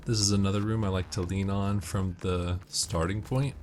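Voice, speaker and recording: A man's voice: sits low, fairly even intonation, normal speed, darkish tone. A man giving casual, matter-of-fact walkthrough commentary on a video game, explaining tips as he plays. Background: video game audio with music and gunfire.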